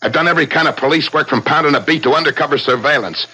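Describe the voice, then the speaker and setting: An actor playing an ambitious, blunt veteran police inspector in a radio drama, his voice rough-edged and insistent. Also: on the high side, very fast, bright, forceful tone, full character voice. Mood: bitter, demanding. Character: ambitious, hard-bitten veteran police inspector, gruff and demanding. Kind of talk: 1970s radio drama acting. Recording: slightly rough 1970s radio drama recording.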